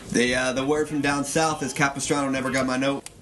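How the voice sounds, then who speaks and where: Male actor as resigned rival gangster, monotone, weary, halting, radio drama, faint noise. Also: medium pitch, natural voice. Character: resigned captured rival mob boss. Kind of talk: old-time radio drama acting.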